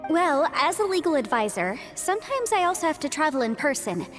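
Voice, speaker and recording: A woman's voice: high-pitched, sing-song, fairly quick, music in the background. A woman playing a polite, articulate young female legal advisor in an English video game dub, cheerfully businesslike. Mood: polite, explanatory. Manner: articulate, explanatory.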